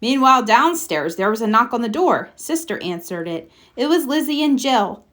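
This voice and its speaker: Animated woman reading a storybook. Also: on the high side, moderate pace. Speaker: children's book read-aloud narrator. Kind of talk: expressive scripted storybook narration.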